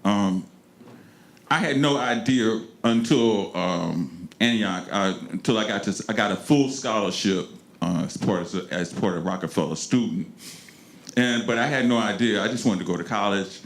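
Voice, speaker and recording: An older man from St. Louis, speaking on an alumni panel with many pauses and restarts. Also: on the low side. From North St. Louis, Missouri. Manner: halting, many ums and restarts. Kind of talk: reminiscing on a panel. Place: large room at a panel event. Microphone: handheld microphone.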